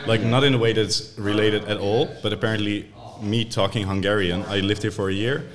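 Easygoing Dutch man, low voice. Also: steady tone, fairly quick. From Netherlands. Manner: joking, easygoing. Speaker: podcast guest. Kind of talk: casual chat.

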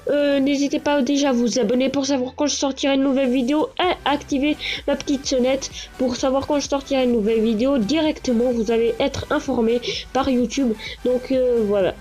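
A woman's voice: sits high, steady tone, a little fast, dark tone. A woman with a cold giving casual, rambling gameplay commentary from an echoing bedroom, full of fillers and restarts. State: stuffy-nosed with a cold. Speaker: gaming channel host. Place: small echoing bedroom, lying down. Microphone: handheld console camera. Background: game music.

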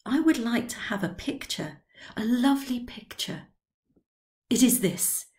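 A woman voicing an excitable, drunken old man who talks eagerly and loudly in a read-aloud story. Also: measured pace. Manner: eager, pleading, high and loud.

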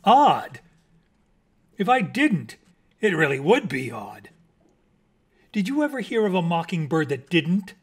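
A man's voice: on the high side, animated, measured pace, fairly bright tone. A man voicing a vain, irritable mockingbird in a storybook, with a pompous, dramatic delivery. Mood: indignant. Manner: dramatic, pompous, swooping emphasis.

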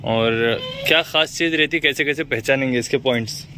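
A man's voice: medium pitch, normal speed, a crowd in the background. A man hosting a farm visit video, asking questions and repeating the answers back as he films on a phone outdoors. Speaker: channel host touring a goat farm. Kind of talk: casual on-site interview and guided tour. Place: outdoor farm yard by a street.

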